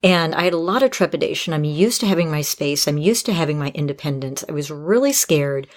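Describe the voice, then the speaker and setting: A woman's voice: low voice, expressive, brisk. A woman podcast host giving advice in a conversational, explanatory way.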